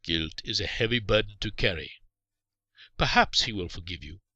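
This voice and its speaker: Man playing a stern, forceful Saracen warrior. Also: lowish voice, no echo, exaggerated voice. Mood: grave, kindly. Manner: measured, formal, commanding.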